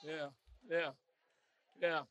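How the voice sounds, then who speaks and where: A pastor preaching a sermon with call-and-response, swinging from hushed musing to shouted, sung climaxes. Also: male voice, fairly high pitch, flat delivery, extremely slow, a little hiss or hum. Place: church.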